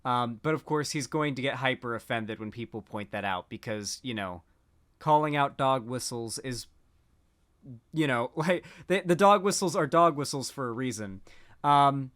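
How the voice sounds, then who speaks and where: A man's voice: mid-range voice, animated, normal speed, fairly bright tone. A man giving casual political commentary, rambling with frequent restarts.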